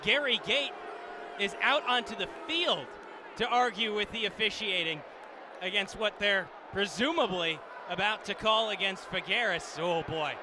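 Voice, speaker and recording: High-voiced male color commentator, noisy venue. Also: unhurried, crowd noise. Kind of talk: live radio sports analysis. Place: sports venue broadcast position. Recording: clear venue noise behind commentary.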